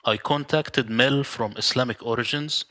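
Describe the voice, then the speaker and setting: A man from the Middle East, a native Arabic speaker, who talks in an earnest, measured way. He is an interview guest joining over a video call. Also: medium pitch, pushed and bright.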